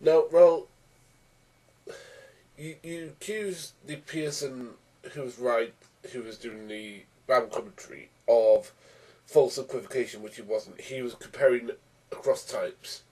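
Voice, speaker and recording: Hoarse young man with a cold, argumentative vlogger, dark tone, set back from the mic. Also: mid-range voice, unhurried, far from the mic, poor audio. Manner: frequent restarts, argumentative. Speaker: YouTube commentator. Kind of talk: casual rebuttal vlog.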